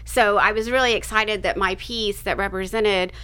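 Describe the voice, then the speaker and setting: A woman's voice: medium pitch, fairly even intonation, normal speed, bright, forceful tone, smooth, rough recording. A woman in her fifties, an artist being interviewed, talking casually in a rambling way and restarting her sentences. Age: 50s. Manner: rambling, self-interrupting, laughs between thoughts.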